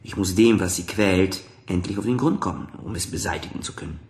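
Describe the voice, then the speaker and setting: An actor playing a calm, authoritative psychotherapist in a radio drama, speaking formally and insistently in measured phrases. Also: male voice, sits low, close to an everyday voice. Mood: firm, warning. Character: calm, probing male psychotherapist, authoritative and persistent. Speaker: actor in a radio crime play. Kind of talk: German radio drama acting.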